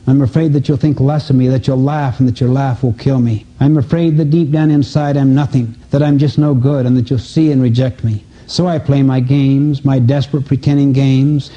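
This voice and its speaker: A man reciting as an insecure, frightened soul, in an earnest, measured, confessional dramatic delivery on a dull lo-fi recording. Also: medium pitch, mellow, lightly acted.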